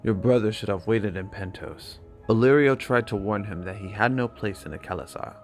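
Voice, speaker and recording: Man playing a grave, low-voiced exiled knight. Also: soft background music, close to an everyday voice. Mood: cautioning. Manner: grave, measured. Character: seasoned exiled knight, sober, loyal male advisor.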